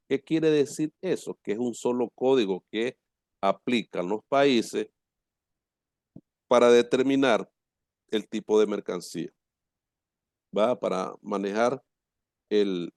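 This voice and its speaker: A man with a low, gravelly voice and a Nicaraguan accent teaches a class. He explains terms haltingly, often repeating himself and checking in with his listeners. The sound is close and dry. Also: darkish tone, no echo. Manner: halting, repeats words, frequent check-in questions to students. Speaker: lecturer. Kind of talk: informal class lecture, explaining and reading out terms.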